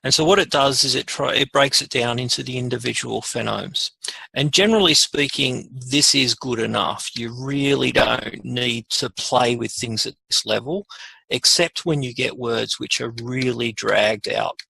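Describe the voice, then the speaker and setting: A man presenting an informal, unscripted software tutorial, muttering asides to himself as he works. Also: mid-range voice, moderate pace, creaky, breathy edge, dry recording, low-quality recording. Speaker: webinar presenter.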